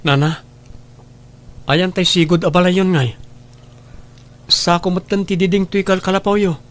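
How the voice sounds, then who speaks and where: An earnest grown son in an audio drama, speaking in a fairly high male voice with an Ilocano Filipino accent. He sounds emotionally invested, as one who pleads with family. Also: fairly even intonation, a little slow. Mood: earnest, reassuring. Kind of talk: Ilocano radio drama acting.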